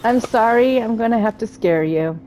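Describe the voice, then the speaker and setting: A woman's voice: a little high, normal speed, warm tone, a little hiss or hum, low-quality recording. A woman giving casual let's-play commentary, thinking aloud as she plays and talking to the game's characters. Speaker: gaming streamer narrating her play.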